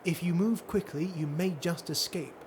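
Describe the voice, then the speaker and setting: A man voicing a haughty, scheming female dark elf noble in a fantasy audiobook, imperious and taunting. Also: high voice, moderate pace, a little hiss or hum, pitched above the performer's own voice, natural voice. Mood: urgent, commanding.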